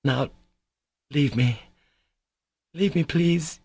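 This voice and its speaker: A man voicing a proud, guilt-torn young woman in an audiobook, speaking in a raised, halting, fervent voice. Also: on the high side, vocal fry, a little mellow, breathy edge, dry recording, pitched above the performer's own voice, full character voice. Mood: curt. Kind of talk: audiobook character voice.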